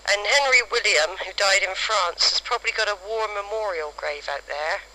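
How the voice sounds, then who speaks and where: A woman recording halting, spontaneous field notes outdoors on a cassette recorder, describing things piece by piece. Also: medium pitch, bright, forceful tone. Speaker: amateur family historian recording an audio diary. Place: outdoors in a cemetery. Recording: faintly noisy amateur cassette recording.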